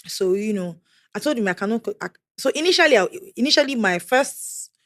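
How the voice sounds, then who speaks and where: A young woman, speaking candidly and softly as an interview guest, her voice often trailing off quietly. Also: on the high side, animated, fairly quick, no echo, clean studio sound. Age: young adult. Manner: soft-spoken, trails off quietly, laughs between lines. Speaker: guest sharing testimony.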